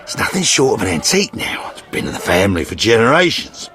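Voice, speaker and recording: A man's voice: on the low side, huge pitch swings, quick pace, sharp tone, faint music behind, heavily acted. A man voicing a crude, boastful yet sentimental mercenary sergeant in a fantasy video game, with blustering theatrical delivery. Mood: drunken affection.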